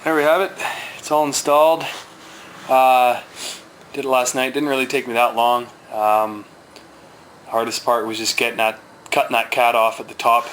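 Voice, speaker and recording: A man's voice: mid-range voice, little pitch movement, normal speed, a bit bright, a little hiss or hum. A man giving a casual, plain-spoken hands-on how-to commentary in informal, slangy language, as a hobbyist showing his own work. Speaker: motorcycle owner demonstrating a DIY exhaust swap.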